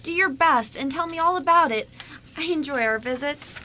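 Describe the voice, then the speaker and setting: High, bright, sweet young woman acted out. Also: moderate pace, slight background noise. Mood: supportive. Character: sweet, supportive young woman, encouraging best friend. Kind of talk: amateur radio drama script reading.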